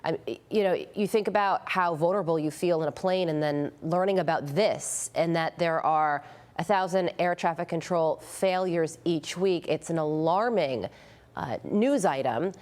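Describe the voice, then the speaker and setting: An American woman co-hosts a live news broadcast. She has a slightly low voice for a woman and speaks in a conversational commentary style. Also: normal speed, darkish tone. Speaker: news co-host.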